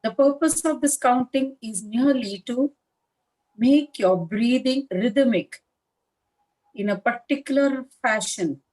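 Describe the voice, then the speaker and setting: A woman leading a guided meditation as a teacher, speaking in a calm, measured manner with long pauses between short phrases. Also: a little high, poor audio. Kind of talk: guided meditation instruction, live session.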